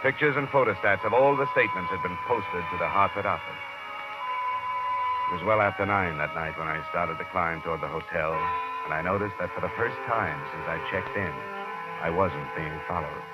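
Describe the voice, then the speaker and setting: An actor playing a blunt, driven American insurance investigator in an old radio detective drama, with a clipped, world-weary delivery. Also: male voice, sits low, normal speed, over loud music, close to an everyday voice. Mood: dry, matter-of-fact. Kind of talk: 1940s-50s radio detective acting. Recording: muffled old radio recording, faint hiss.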